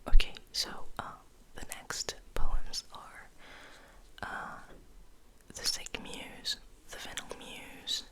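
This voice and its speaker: Whispering woman, slow ASMR reading. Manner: slow, deliberate, hushed. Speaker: ASMR host reading poetry. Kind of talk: whispered ASMR poetry reading with soft rambling.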